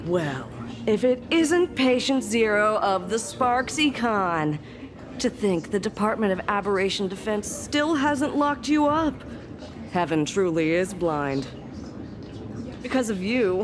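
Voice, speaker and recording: A woman's voice: mid-range voice, close to an everyday voice. A woman voicing a sardonic, exasperated fake doctor in a video game, with a sarcastic, dramatic delivery. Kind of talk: English video game voice acting. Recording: music playing behind the voice.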